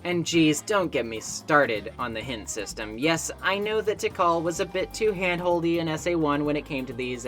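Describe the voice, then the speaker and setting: Expressive low-voiced woman reviewer over music. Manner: wry, opinionated, conversational asides with mild swearing. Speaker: video essay host and game reviewer. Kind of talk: scripted video essay narration. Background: background music.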